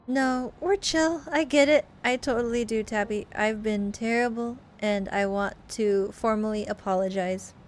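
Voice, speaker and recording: A woman's voice: a little high, unhurried, few pauses, slight background noise, lightly acted. A woman voicing a friendly, eager, apologetic young woman in a visual novel game, chummy and over-earnest. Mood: earnest apology.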